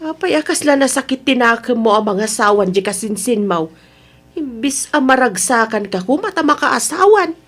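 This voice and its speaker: A woman acts out a sensible Ilocano wife in a family radio drama. Her voice is husky and a bit high, and she gently chides as she talks. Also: lively intonation, normal speed. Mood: matter-of-fact, chiding.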